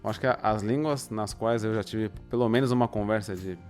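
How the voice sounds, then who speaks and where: A Brazilian man as an interview guest, speaking slowly and thoughtfully with many pauses. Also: on the low side, a little mellow, faint music behind. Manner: thoughtful, deliberately slow, with pauses. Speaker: guest, polyglot. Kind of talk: casual interview.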